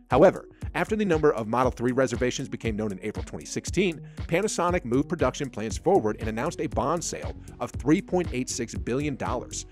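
A man reading a scripted narration, in a flat, even delivery of a dense factual text. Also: medium pitch. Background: faint background music.

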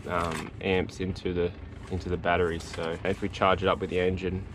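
Young man, sailing vlogger. Deep, flat, weary delivery to an arm's-length camera. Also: a little fast, slight background noise. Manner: weary, matter-of-fact. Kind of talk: casual vlog chat. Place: outdoors on a sailboat. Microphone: action camera at arm's length.

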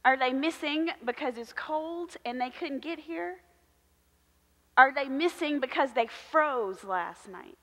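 A woman pastor in her thirties preaches in a big church sanctuary, her voice high and bright. She takes her time, leaning on slow, emphatic repetitions. The room carries a long ringing reverb. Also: lively intonation. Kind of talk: sermon.

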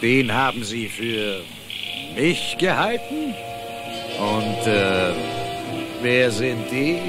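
A man's voice: mid-range voice, big pitch swings, over loud music. A man playing a cool, suave and powerful tycoon tiger in a cartoon audio drama, sardonically polite. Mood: dry irony. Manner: polite, sardonic, unhurried authority.

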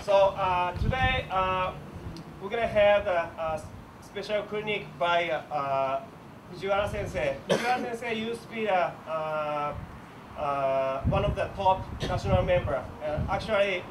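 A man announcing and interpreting at a judo clinic, speaking halting English with many fillers, recorded by a far camera in a large indoor dojo. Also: high-pitched. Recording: distant voice in noisy room.

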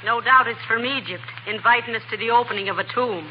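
A woman's voice: on the high side, fast, natural voice. Lady doing a wry wife needling her husband, old radio comedy, dull noisy broadcast sound. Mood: skeptical. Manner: dry, teasing. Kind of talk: 1940s radio comedy acting.

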